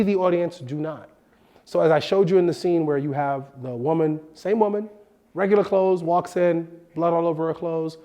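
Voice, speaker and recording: A man in his thirties hosting a film production lesson in a studio, animated and expressive, sounding a bit nasal from a cold. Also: a little high. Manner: animated, expressive, gestures with hands. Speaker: host. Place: indoor studio set.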